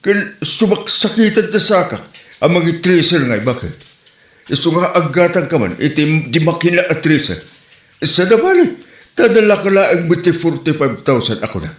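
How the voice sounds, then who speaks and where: A man's voice: a little high, very animated, moderate pace. A man with an Ilocano Filipino accent playing a nagging, scheming village husband in a comedy skit. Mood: persuading. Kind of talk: Ilocano comedy drama acting. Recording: roomy echo, music behind.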